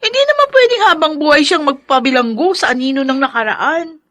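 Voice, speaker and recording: A woman's voice: sits high, expressive, a little fast, a bit bright. A woman playing a loyal, supportive younger sister in a Filipino family drama, a young adult with a reasoning manner. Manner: reasoning, encouraging, defensive of her sibling.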